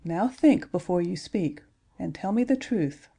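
A brisk, firm mother with a low, mellow voice that moves through a lively pitch range, speaking with crisp authority, as a woman reading a children's book puts her on. Her lines have a proper, no-nonsense briskness in this storybook telling. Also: full character voice.

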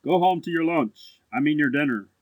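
A man's voice: medium pitch, moderate pace, no echo, narrow, tinny audio, lightly acted. A man playing a languid, condescending grown-up older brother in a storybook, with pompous courtesy. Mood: aloof, courteous. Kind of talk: storybook dialogue acting.

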